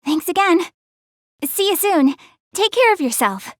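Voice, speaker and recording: A woman voicing a proud, easily embarrassed young goddess girl in an anime video game, expressive and quick to get flustered. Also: very high-pitched, no room sound, clean studio sound, heavily acted. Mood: determined, shy. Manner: expressive, easily embarrassed, hesitant then insistent. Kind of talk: English anime game voice acting.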